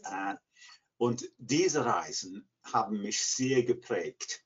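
An English man in his sixties with an English accent, answering interview questions haltingly as he searches for words in a second language. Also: lowish voice, expressive, slightly rough. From England, near Liverpool. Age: 60s. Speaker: interview guest, language learner. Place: living room at home. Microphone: computer mic on video call. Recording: dull, lo-fi video call.

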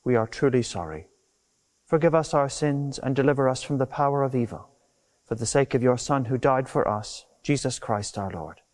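A middle-aged man, a deacon, reading formal prayers in a measured, solemn manner. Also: mid-range voice, lively intonation, a little mellow. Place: inside a church. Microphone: clip-on lapel mic.

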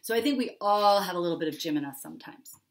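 A woman in her forties narrating a children's story expressively, with dramatic pauses and emphasis. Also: on the low side, animated. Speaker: picture-book author reading aloud. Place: small furnished room at home, indoors.

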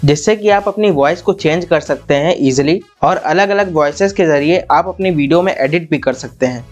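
A man's voice: a little high, normal speed. Man presenting a tech tutorial, faint music. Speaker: tech channel host. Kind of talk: software tutorial narration. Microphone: lapel mic. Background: faint background music.

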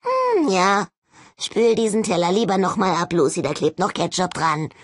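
A woman's voice: medium pitch, big pitch swings, moderate pace, no echo, studio quality. A woman voicing a mocking old male ghost skull in a jar, sardonic and mock-polite, in an audiobook. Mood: needling.

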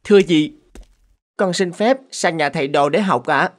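A woman voicing a respectful, earnest young son in an animated folk tale. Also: sits low, normal speed, close to an everyday voice. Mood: polite, worried.

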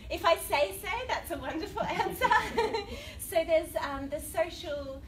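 A woman's voice: very high voice, expressive, measured pace. A woman with an Australian accent giving a conference talk with a cold, chatty and laughing often, bantering with the audience. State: has a cold. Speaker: academic panel speaker. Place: lecture room. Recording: distant, noisy room recording.

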